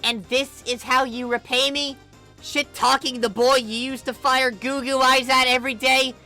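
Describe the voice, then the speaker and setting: A woman's voice: sits high, little pitch movement, normal speed, sharp tone, faint music behind, pitched above the performer's own voice. A woman voicing a furious, protective older sister, scolding with cutting sarcasm and emphatic stress. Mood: furious, sarcastic.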